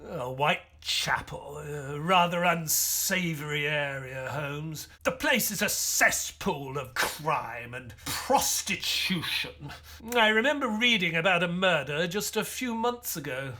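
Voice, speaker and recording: Audio play casting: a weary British Victorian doctor, loyal, easily exasperated, very mobile pitch, slightly husky. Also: male voice, slow pace, full character voice. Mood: uneasy. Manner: old-fashioned, formal, expressive. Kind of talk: audio drama acting with first-person storytelling.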